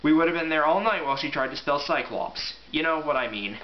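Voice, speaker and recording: A man's voice: on the high side, brisk, lightly acted. A man reading the part of a tense, exasperated teenage girl hero in a storybook. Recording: echoey room, muffled, faint hiss.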